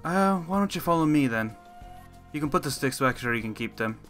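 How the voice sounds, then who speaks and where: A man's voice: a little high, animated, fairly quick, a bit bright. A man playing a confident, wry werewolf teacher in an improvised Minecraft roleplay. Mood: confident, instructing. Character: male werewolf mentor, confident, wry, running training games. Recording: music behind the voice.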